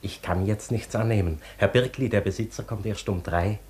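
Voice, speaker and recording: Deep-voiced, polite shop assistant, played by an actor. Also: male voice, moderate pace, darkish tone, natural voice. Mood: polite, apologetic. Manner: formal, apologetic. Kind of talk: German radio play acting.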